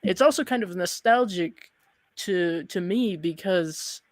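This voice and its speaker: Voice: mid-range voice, takes it slowly, no room sound. Chatty livestream host, close dry sound. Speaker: host interviewer of a horror livestream. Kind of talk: casual livestream interview chat.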